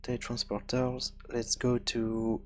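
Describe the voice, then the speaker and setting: Slow-talking man thinking aloud through a tutorial. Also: medium pitch, monotone. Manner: hesitant, halting, thinking aloud. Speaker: tutorial presenter. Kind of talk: unscripted screen-recorded programming tutorial, thinking aloud.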